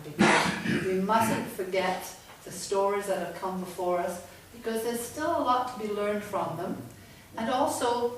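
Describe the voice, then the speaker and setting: Middle-aged lady author, loud voice, chatty talk, faint hiss behind. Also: mid-range voice. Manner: chatty, animated storytelling. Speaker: guest author and speaker. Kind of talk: public talk to an audience. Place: large echoey room from far off. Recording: echoey room, distant mic, faint noise.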